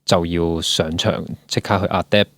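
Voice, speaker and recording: A man's voice: sits low, fairly even intonation, moderate pace, creaky, fairly bright tone, no room sound. A man with a Hong Kong accent hosting a conversational interview, explaining things and mixing in English words. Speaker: podcast host interviewing a guest.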